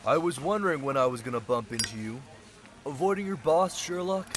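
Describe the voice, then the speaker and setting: A man's voice: mid-range voice, lively intonation, brisk, slight background noise, lightly acted. A man playing a sly, centuries-old thief turned security specialist, talking in a casual, teasing way in an audio roleplay drama. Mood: teasing, friendly. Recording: loud crowd chatter behind voice.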